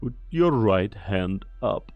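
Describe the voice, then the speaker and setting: A man's voice: fairly low pitch, lively intonation, takes it slowly, no echo, lo-fi sound. A man voicing a cold security commander giving curt, officious orders. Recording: dry close mic, faint music.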